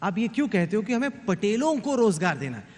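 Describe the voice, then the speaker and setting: A man's voice: sits high, big pitch swings, brisk, a little mellow. A man moderating a live panel debate, firm and stern as he keeps the speakers in order. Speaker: debate moderator, news anchor. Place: large conference hall on stage. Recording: long hall reverb.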